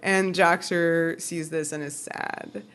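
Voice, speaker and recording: A woman's voice: on the low side, steady tone, slow, a bit bright, smooth. Giggly woman podcaster in casual chat. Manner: giggly, conversational, peppered with "like". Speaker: podcast co-host.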